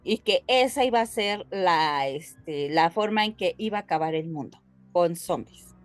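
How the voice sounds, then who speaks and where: A woman with a Mexican Spanish accent co-hosting a podcast, explaining things casually and haltingly as she addresses her listeners. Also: lowish voice, lively intonation, soft background music. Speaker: podcast co-host.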